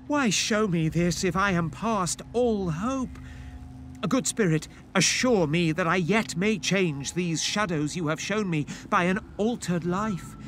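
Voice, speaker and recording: A reformed miser speaks with theatrical emotion and a stammering, halting delivery. His pace is slow and his pitch swings widely, with noticeable ambient rumble behind him. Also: fairly bright tone. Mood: desperate pleading. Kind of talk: theatrical audio drama acting.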